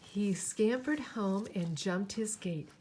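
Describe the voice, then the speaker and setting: A woman reading a picture book aloud to children in an expressive, dramatic way. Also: medium pitch, takes it slowly, slight background noise, rough recording. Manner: animated, dramatic, with asides to listeners.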